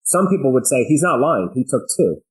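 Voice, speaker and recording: A man's voice: mid-range voice, very animated, brisk, darkish tone, rough recording. A man chatting casually as a podcast guest, emphatic and slangy, telling anecdotes and posing rhetorical questions. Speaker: podcast guest, business owner.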